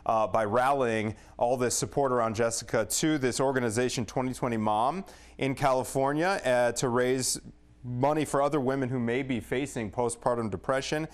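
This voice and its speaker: A man with an average-pitched voice reports as a news correspondent. He speaks in the manner of a live broadcast update, addressing the anchor. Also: normal speed, clean tone.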